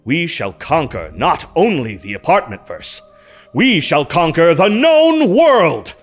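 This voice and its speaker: A man's voice: fairly high pitch, big pitch swings, slow pace, soft background music. A man playing a cunning, pompous mold spore orator bent on conquest, in a comic audio drama. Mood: scheming, grandiose. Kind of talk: theatrical audio comedy drama.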